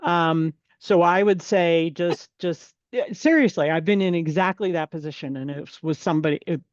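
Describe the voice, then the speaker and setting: A woman's voice: on the low side, normal speed, no echo. A woman taking part in a video-call Q&A, speaking bluntly and emphatically while telling stories from experience. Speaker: attendee asking during Q&A. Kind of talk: casual remarks in a Q&A. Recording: dull video call audio.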